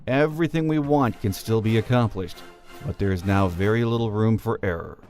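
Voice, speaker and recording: A male podcast host reads sports commentary and show introductions. His voice is on the low side, with faint music in the background. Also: brisk, a little mellow.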